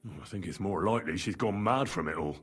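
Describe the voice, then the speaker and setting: Husky, level-headed young medieval adventurer. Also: male voice, low-pitched, fast. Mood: puzzled, curious. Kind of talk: video game voice acting, dramatic dialogue.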